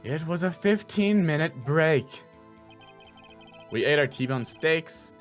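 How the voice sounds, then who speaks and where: A man voicing a curt, irritable courtroom witness in a video game, dismissive and unhurried. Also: a little high, lively intonation, soft background music. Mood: curt, weary.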